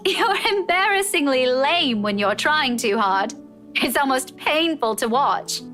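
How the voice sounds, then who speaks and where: A woman's voice: sits high, animated, measured pace, a bit bright, faint music behind. An actress playing a candid, teasing, caring female friend in a visual novel game. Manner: frank, dryly teasing.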